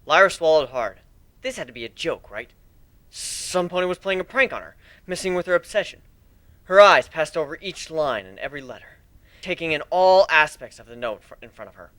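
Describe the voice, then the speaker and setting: A man's voice: on the high side, expressive, a little fast, a bit bright, no room sound. A man giving an amateur dramatic reading as the narrator of a fan fiction story.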